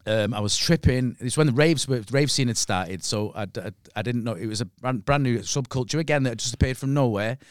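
A middle-aged man with a Manchester accent tells loose, casual stories in a close, dry recording. His voice sits at an ordinary pitch, and he talks bluntly with plenty of swearing. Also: fairly quick, few pauses, clear, resonant tone, no echo. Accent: Mancunian. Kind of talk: casual anecdotal storytelling.

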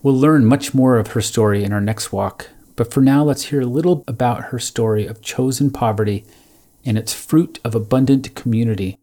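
A man's voice: medium pitch, moderate pace, dark tone. A man narrating a scripted devotional audio guide. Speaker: narrator guiding an audio walk. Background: music.

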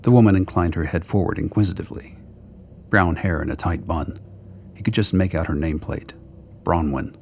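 A man with a gravelly voice reading scripted dramatic story narration. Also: low voice, brisk, a little mellow, slight background noise.

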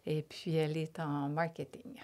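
A woman's voice: deep voice, little pitch movement, moderate pace, a crowd in the background. A woman in her fifties from Lévis with a Québécois accent, a guest telling her life story in an interview with many fillers and restarts. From Lévis, Quebec. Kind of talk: conversational interview.

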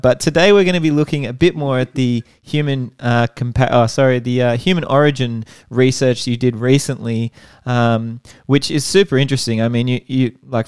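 A man's voice: mid-range voice, darkish tone, clean tone, no echo, clean studio sound. A man hosting an educational podcast interview, talking in a rambling, friendly way and often restarting his sentences.

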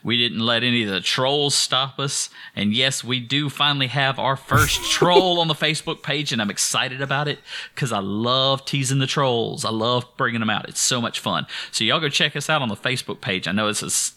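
A man's voice: medium pitch, moderate pace, sharp tone. A man, co-hosting a gaming podcast in a playful, upbeat casual chat, often repeating words as he starts. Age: 30s. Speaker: podcast co-host.

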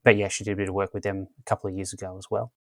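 A man hosting a podcast, introducing a guest in a relaxed way with many pauses and restarts. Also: low-pitched, dry recording, studio quality. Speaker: podcast host. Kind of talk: casual interview chat.

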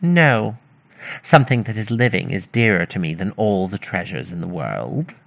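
A man voicing a spiteful, gleeful little mannikin from a fairy tale, taunting with drawn-out exclamations. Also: low voice, animated, creaky, a bit bright, lo-fi sound, exaggerated voice. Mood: demanding.

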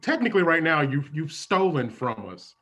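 Woman acting exasperated store clerk, bright forceful voice, stumbling, improv comedy. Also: deep voice, big pitch swings, normal speed, breathy edge, full character voice. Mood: awkward, exasperated. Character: awkward, exasperated sex shop clerk chasing payment.

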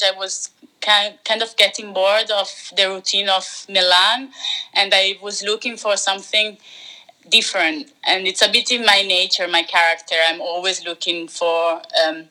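A young woman talks easily about herself as a podcast guest, her sentences loose and strung together with fillers. Her voice is very bright and sharp, and the sound comes through as a remote call. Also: medium pitch, fairly even intonation, normal speed, low-quality recording. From Italy. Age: young adult. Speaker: podcast guest, art graduate. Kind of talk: casual conversational interview.

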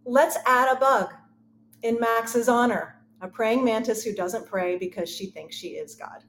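A woman's voice: fairly high pitch, a little slow, reverberant. A middle-aged woman chatting casually and telling stories as an interview guest. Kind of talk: casual conversational storytelling.

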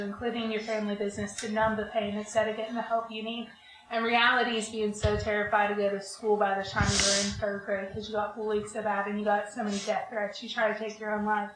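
A woman reciting her personal testimony in an even, solemn way as a member of a program. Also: medium pitch, roomy sound, slight background noise, rough recording.

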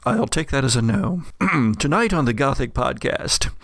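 A man's voice: mid-range voice, expressive, normal speed, a bit bright, dry recording, studio quality. A man working as game master, improvising tabletop game narration in a wry, informal way. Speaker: game master, podcast host.

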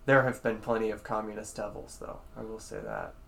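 A man's voice: fairly low pitch, flat delivery, a little fast, creaky, a bit bright. A young man co-hosting a podcast, laid-back and curious, asking questions with casual swearing. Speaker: podcast co-host.

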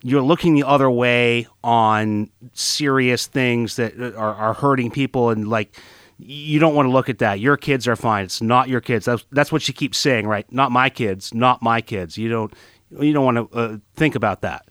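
A man's voice: medium pitch, normal speed, a bit bright, clean tone, no room sound. Dry-sounding podcast guy, casual. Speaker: podcast co-host. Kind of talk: casual film discussion.

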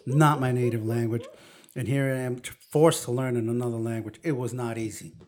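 A woman from Brooklyn with a New York accent, co-hosting a podcast in casual chat, an animated storyteller who acts out quotes. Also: rumbling low, a little fast. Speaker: podcast co-host.

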